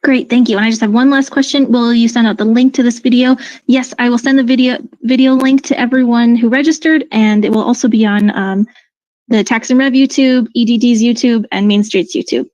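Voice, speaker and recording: Woman, fairly high pitch, casual webinar exchange, dull online call recording, dry. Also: steady tone, a little fast, a little mellow, dry recording. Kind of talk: casual question and answer.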